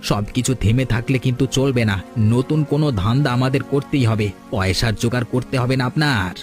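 Cartoon henchman male actor: obsequious, cunning flatterer with a medium-pitched voice over faint background music. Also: fairly quick, close to an everyday voice. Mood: coaxing. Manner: obsequious, coaxing. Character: crafty flattering male henchman of a village boss. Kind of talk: Bengali cartoon folk-tale dialogue.

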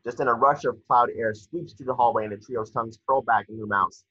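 A man's voice: mid-range voice, fairly quick, pushed and bright, breathy edge, no echo. A young man narrating scripted stage directions from a screenplay over a video call. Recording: dull, muffled video call audio.

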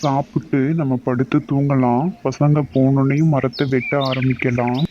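A man's voice: medium pitch, level tone, moderate pace, dull audio, noisy background, lightly acted. A man voicing a tired, hungry woodcutter who muses to himself in a cartoon. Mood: weary. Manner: musing to himself, weary. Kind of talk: cartoon voice acting.

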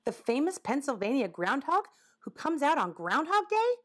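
A woman plays a young girl in a storybook, curious and full of wonder. Her voice is high, with big swings in pitch. She is polite and eager. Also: big pitch swings, normal speed. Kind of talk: children's storybook character voice.

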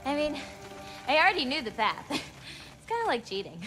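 A woman's voice: high-pitched, very animated, moderate pace, exaggerated voice. An actress playing a confident, playful young giant girl in a video game. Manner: playful, teasing. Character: spirited, confident teenage giant girl, playful yet guarded. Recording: loud game music behind.

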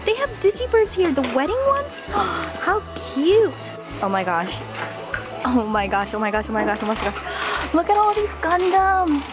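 A young woman with a hoarse voice, vlogging with a handheld camera as she browses toy aisles inside a store and gushes over what she finds. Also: very high-pitched, unhurried. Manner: excitable, gasping, gushing over finds. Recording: music playing underneath.

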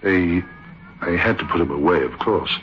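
A male actor plays a theatre manager haunted by what he witnessed, in vintage radio drama. He is grave and halting. The recording is muffled, with music behind him. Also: sits low, lightly acted. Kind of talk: old-time radio drama narration.

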